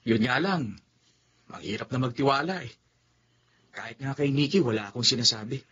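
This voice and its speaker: A man's voice: medium pitch, lively intonation, moderate pace, fairly bright tone, slightly rough, highs cut off. An actor playing a young man who earnestly confides his secret romance to a friend. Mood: confiding. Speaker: tricycle driver. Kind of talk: radio drama acting.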